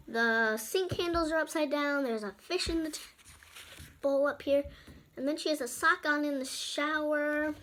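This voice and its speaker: A woman's voice: sits high, a bit bright, slight background noise. A young girl reading a picture book aloud, breaking off for informal, chatty asides to other children watching. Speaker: storybook reader and channel presenter.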